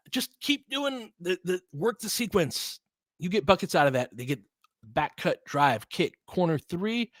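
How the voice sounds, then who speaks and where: A man's voice: high voice, sing-song, slow, fairly bright tone, slightly rough, no echo, clean studio sound. A man hosting a casual live basketball stream, mixing play-by-play with conversational, slangy analysis as he thinks aloud. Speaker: host and play-by-play commentator.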